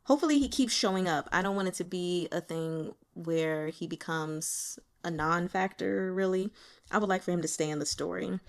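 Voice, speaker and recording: A woman giving casual, chatty commentary as a host and reader, in an informal and expressive manner. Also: lowish voice, normal speed, creaky.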